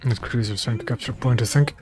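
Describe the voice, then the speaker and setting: A Dutch-speaking man from West Flanders with a Flemish lilt on his English, talking through a game stream. His delivery is loose and rambling, full of restarts as he juggles gameplay calls and viewer questions. His voice sits at an ordinary middle pitch. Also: fast talker, soft background music. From West Flanders, Belgium. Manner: relaxed, rambling, answers chat between game calls. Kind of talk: casual gameplay stream commentary.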